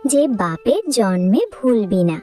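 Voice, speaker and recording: A woman's voice: medium pitch, animated, a little slow, mellow, soft background music, lightly acted. A woman voicing a young, indignant female ghost in a dramatic cartoon fairy tale. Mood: menacing, angry. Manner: dramatic, threatening when angered.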